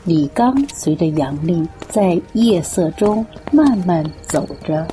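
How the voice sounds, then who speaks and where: A woman's voice: lowish voice, lively intonation, slow pace, mellow. A woman reading scripted narration for a radio drama.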